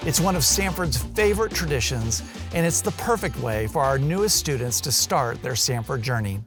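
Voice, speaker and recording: A man's voice: medium pitch, animated, normal speed, over music. A middle-aged man, a university president, hosting an interview in an upbeat, encouraging way. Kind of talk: hosted interview.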